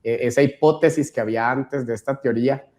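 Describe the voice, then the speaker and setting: A man's voice: mid-range voice, steady tone, a little fast, darkish tone. A man from Costa Rica with a Costa Rican Spanish accent, talking enthusiastically as a podcast guest. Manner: enthusiastic, uses tag "¿verdad?". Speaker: podcast guest, chapter leader. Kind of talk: casual conversation.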